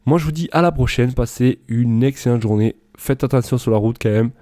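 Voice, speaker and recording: A man's voice: medium pitch, brisk, dark tone, no room sound, clean studio sound. A man presenting a product comparison in a casual, loosely improvised voice-over, explaining things informally.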